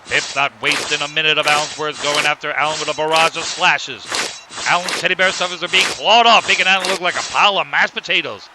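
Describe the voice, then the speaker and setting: A man's voice: on the high side, fast, very noisy, natural voice. A man playing a play-by-play commentator narrating a cartoon wrestling match.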